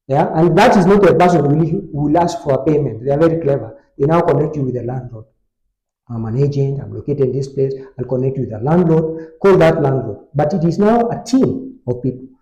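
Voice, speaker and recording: A man on a podcast, explaining an online scam step by step in a relaxed conversational way, with frequent fillers. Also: on the high side, a little fast, roomy sound, rough recording. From Kenya. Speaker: technology expert on a podcast. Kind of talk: conversational storytelling.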